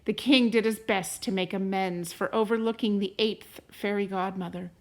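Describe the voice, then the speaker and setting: A woman reading a storybook aloud to a class as a teacher, in a deliberate, expressive way. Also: medium pitch. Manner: deliberate and expressive, pausing to question listeners.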